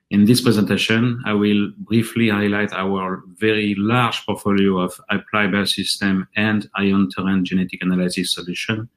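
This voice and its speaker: A man with a French accent giving a measured, earnest conference presentation for his company. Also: on the low side, poor audio. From France. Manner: earnest, measured delivery with occasional restarts.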